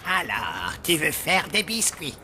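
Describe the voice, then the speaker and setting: An actor voicing a vain, pompous zombie TV chef who talks in dramatic outbursts. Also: male voice, fairly high pitch, sing-song, takes it slowly. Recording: cartoon dub over loud music.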